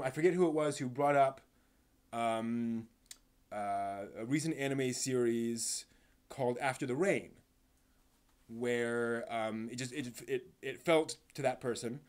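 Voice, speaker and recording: A man hosting a live stream, giving casual commentary in a halting, thinking-aloud way with emphatic stress on key words. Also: medium pitch.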